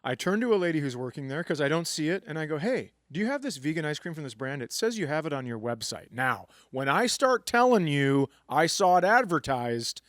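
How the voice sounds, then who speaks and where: A man's voice: fairly high pitch, sing-song, brisk, hardly any pauses, a bit bright, no room sound, clean studio sound. A man co-hosting a live comedy podcast, telling a rambling, profane story. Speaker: comedian co-host.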